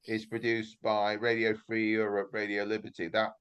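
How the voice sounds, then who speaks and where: A man's voice: on the low side, completely flat delivery, moderate pace, a bit bright, smooth. An older British man, a retired chief engineer, dropping dry remarks and corrections into a club talk. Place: club meeting room.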